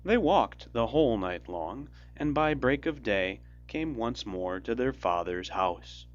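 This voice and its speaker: A man reads a fairy tale in a measured storybook style. His pitch rises and falls in a very lively way, with music underneath and slightly muffled sound. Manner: measured, expressive storybook delivery. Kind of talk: scripted fairy tale narration.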